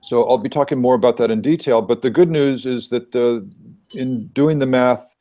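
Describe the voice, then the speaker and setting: A man's voice: mid-range voice, moderate pace, mellow. A man giving an earnest, explanatory presentation at an online meeting, thinking aloud as he goes, heard through a video call.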